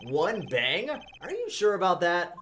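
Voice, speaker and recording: A woman's voice: on the low side, big pitch swings, measured pace, a bit bright, slight background noise. A woman voicing a wry, anxious young male defense attorney in a video game fandub, joking and dramatic. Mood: arguing.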